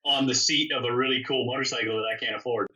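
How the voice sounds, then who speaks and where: A man's voice: mid-range voice, steady tone, fast, pushed and bright. A man tells stories casually in a rambling, self-correcting way. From born in England, grew up in Canada. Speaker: interview guest, custom motorcycle builder. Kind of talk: casual conversational storytelling. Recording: dull, distant microphone sound.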